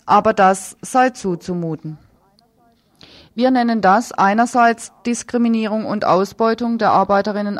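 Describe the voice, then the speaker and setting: A woman presenting radio news, reading a scripted report with commentary. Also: medium pitch.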